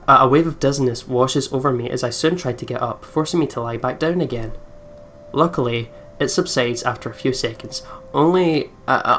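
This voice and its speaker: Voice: mid-range voice, a bit bright, a little hiss or hum. A gamer doing casual let's play commentary, swearing and stumbling while reading visual novel narration aloud.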